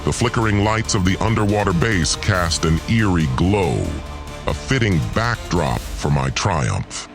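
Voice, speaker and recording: Male narrator, very low register, expressive intonation, brooding, theatrical, scripted audio drama. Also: measured pace, music in the background.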